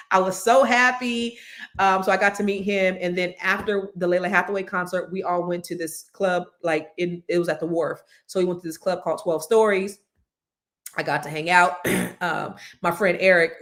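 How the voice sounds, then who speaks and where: A woman hosting a live show, chatting excitedly to viewers; her voice is deeper than usual from cigar smoke. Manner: chatty, excitable storytelling with many fillers. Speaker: host of a live money and news show. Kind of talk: casual chat to viewers.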